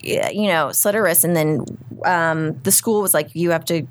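Low-voiced woman telling a chatty story. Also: moderate pace, slight background noise. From Houston, Texas. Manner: chatty, laughing, self-deprecating asides. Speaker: storyteller guest. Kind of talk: casual storytelling chat.

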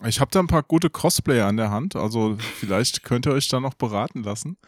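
A man's voice: mid-range voice, expressive, brisk. A middle-aged man hosting a casual interview and putting questions to his guests. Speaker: interviewer, host.

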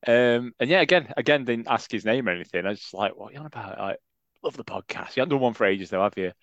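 A man with a Sheffield accent, chatting and laughing at himself as a podcast co-host. His voice sits at a middle pitch and comes through slightly dull and muffled. The recording is dry and close, with no room sound. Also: expressive, quick pace, no echo. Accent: Sheffield English. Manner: self-deprecating, laughs often. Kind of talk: casual chat.

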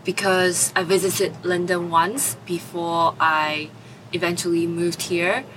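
A woman's voice: fairly low pitch, flat delivery, slow pace, noisy background. A woman in her twenties from Taiwan, answering interview questions hesitantly with many fillers. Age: 20s. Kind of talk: oral history interview.

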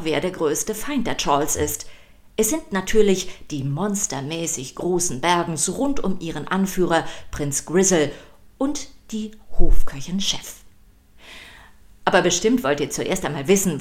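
A woman with a slightly low voice narrates a children's podcast. She reads from a script in a playful storytelling way, stressing key words.

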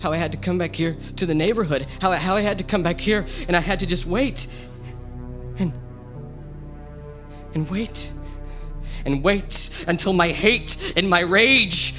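An actor playing a grieving widower haunted by a vengeful creature, stammering and confiding, then erupting into shouts. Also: male voice, sits high, measured pace. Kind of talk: radio drama monologue. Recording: music bed behind voice.

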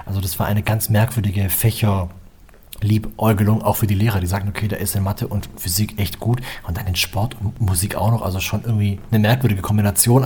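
Low, husky-voiced man chatting. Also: a little mellow. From Germany. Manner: chatty, rambling, with filler phrases. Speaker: musician, interview guest. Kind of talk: relaxed conversational interview.

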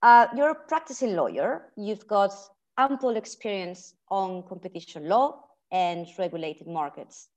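Woman with a Spanish accent, podcast host; friendly, hesitant delivery with restarts, mid pitch. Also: lively intonation, measured pace, hardly any pauses, darkish tone, highs cut off. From Spain. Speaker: podcast host, research fellow. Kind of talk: podcast interview.

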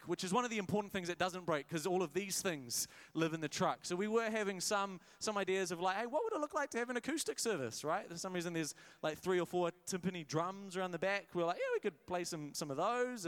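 Upbeat New pastor preaching. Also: male voice, on the high side, clear, resonant tone. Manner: energetic, many restarts, jokey asides. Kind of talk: sermon. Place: church service in a hired venue.

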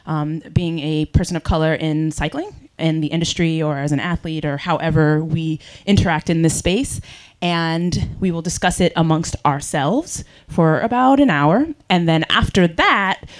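A woman moderating a panel discussion in front of an audience, speaking earnestly into a handheld microphone with frequent ums. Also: on the low side. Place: event room with audience.

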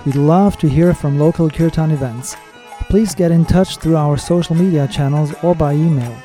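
A man hosting a podcast, reading his scripted episode introduction and news in a measured, steady way. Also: fairly high pitch, faint music behind. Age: adult with children. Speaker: podcast host.

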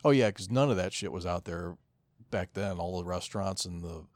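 A man's voice: low-pitched, normal speed, darkish tone, dry recording. A man co-hosting a podcast in casual chat, rambling and swearing freely as he goes. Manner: rambling, profane. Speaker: podcast co-host.

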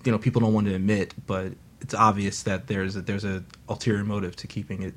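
A man's voice: on the low side, flat delivery, fast talker. A man on a talk radio panel, rambling casually with lots of fillers. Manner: rambling, full of "you know", joking.

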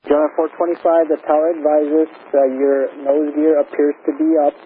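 A man's voice: on the high side, little pitch movement, brisk, phone-quality sound. A man working as an air traffic controller, speaking in clipped standard phraseology over an aviation radio.

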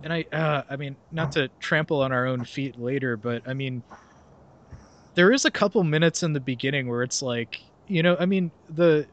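A man's voice: mid-range voice, normal speed, dull audio. Sarcastic young man hosting a podcast. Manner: sarcastic, laughs mid-sentence. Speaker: podcast host. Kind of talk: casual podcast chat, reading a summary aloud.

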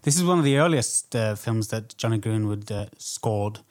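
A man's voice: lowish voice, lively intonation, moderate pace, darkish tone. A man co-hosting a podcast, chatting casually and appreciatively about film in loosely phrased sentences. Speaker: podcast co-host.

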